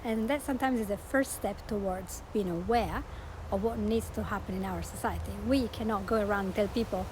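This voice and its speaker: A woman's voice: medium pitch, brisk, noisy background. A woman with an Italian accent, talking earnestly as a podcast host and life coach, promoting her show. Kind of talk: promotional monologue.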